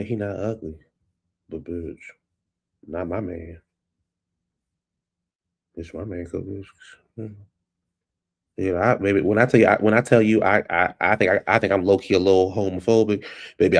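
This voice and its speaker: A man with a stuffed-up nose and a fading voice, chatting casually and rambling as a vlog host at his computer. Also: deep voice. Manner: rambling, loose and chatty, calls listeners baby. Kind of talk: casual solo chat. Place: at home at a computer.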